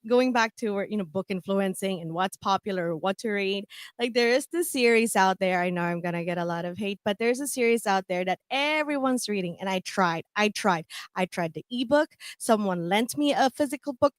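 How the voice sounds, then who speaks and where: A woman's voice: mid-range voice, a little fast, no room sound. A woman whose first language is German, chatting candidly on a radio panel and laughing often. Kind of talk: casual radio chat.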